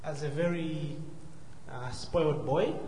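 A man with a southern African accent giving a personal testimony as a guest speaker, courteous, measured and hesitant. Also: on the high side, dark tone. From Zambia and Malawi. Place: large church hall, live before a congregation. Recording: echoey hall, faint noise.

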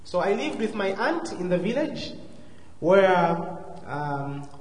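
A man with a southern African accent giving a personal testimony as a guest speaker, courteous, measured and hesitant. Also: high voice, sing-song. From Zambia and Malawi. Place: large church hall, live before a congregation. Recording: echoey hall, faint noise.

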